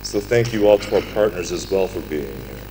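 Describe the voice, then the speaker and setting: A man giving earnest, measured remarks at a conference, stressing his key phrases. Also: low-pitched, animated, noisy background. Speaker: foundation representative giving closing remarks. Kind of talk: formal conference speech. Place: conference event.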